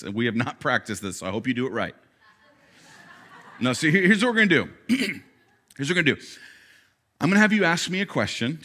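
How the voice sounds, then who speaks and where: Bright-voiced pastor with an animated delivery. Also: male voice, medium pitch. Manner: animated, demonstrative, drops to quiet intensity. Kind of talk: sermon. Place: church stage with congregation. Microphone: wearing a microphone.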